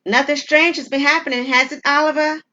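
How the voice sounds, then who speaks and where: A high-voiced, breezy woman friend chatting on the phone in an audio drama, as a female narrator puts her on. She is loud and quippy, eager to sound helpful. The sound is a bit lo-fi. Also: steady tone, brisk. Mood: breezy, probing. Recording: dull, lo-fi audio.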